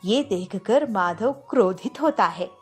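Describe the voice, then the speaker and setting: A woman narrates a children's moral tale at a slow pace. Her voice is slightly husky and moves with animated pitch, with soft music behind her. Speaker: story narrator.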